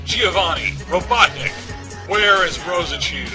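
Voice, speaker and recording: A young cartoon hedgehog hero, performed by a male narrator, speaking high and emphatically. He is hot-headed, devoted and emotional, with music playing behind him. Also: lively intonation, unhurried. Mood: defiant, urgent.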